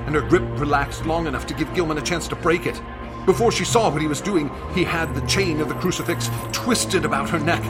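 A man's voice: a little high, close to an everyday voice. An actor playing a haunted young man recounting a friend's horrific death, in an intense radio drama style. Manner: intense, halting when distressed. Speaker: narrator and lead actor. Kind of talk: dramatic radio play narration. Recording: dramatic music under the voice.

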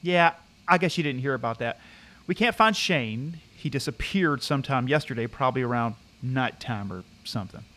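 A man's voice: mid-range voice, lively intonation, moderate pace, bright, forceful tone. An actor playing a concerned, chatty young male camp counselor in an audio drama, talking casually and earnestly. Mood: uneasy.

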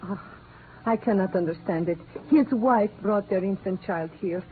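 A woman's voice: mid-range voice, moderate pace, close to an everyday voice. Woman playing an earnest Latin American nurse, tinny sound. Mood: earnest, worried. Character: earnest, dedicated young South American clinic nurse. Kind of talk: 1950s radio drama acting. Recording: tinny old radio broadcast, light noise.

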